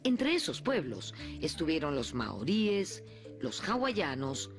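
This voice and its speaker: A woman with a low voice narrates a documentary script, reading clearly and steadily. Her intonation rises and falls in lively arcs. Music plays clearly under her voice-over. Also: takes it slowly.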